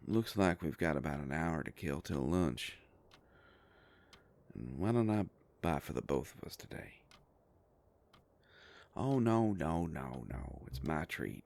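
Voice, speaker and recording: A man playing a gruff, protective werewolf private eye in an audio roleplay, with a deep gravelly voice and a folksy, halting, gently teasing way of talking. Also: lively intonation, full character voice. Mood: friendly, reassuring. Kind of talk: scripted roleplay audio drama, intimate.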